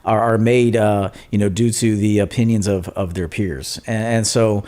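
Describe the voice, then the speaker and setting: A man's voice: lowish voice, fairly even intonation, normal speed, darkish tone. A man who works as a coach, a guest on a podcast, giving blunt advice in a loose, repetitive, filler-filled way. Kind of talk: casual podcast interview.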